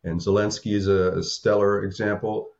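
Low-voiced American man in his seventies. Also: level tone, normal speed, gravelly, darkish tone. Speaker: voter giving opinion. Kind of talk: informal interview testimony. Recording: slightly dull, lo-fi sound.

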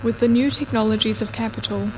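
A woman reading scripted narration for a radio play in a measured, deliberate way. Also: a little high, fairly even intonation, darkish tone, slight background noise, low-quality recording.

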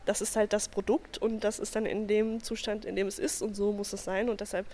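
A woman's voice: mid-range voice, level tone, fairly quick, dark tone. Reflective woman artist, faint background hum. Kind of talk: interview answers.

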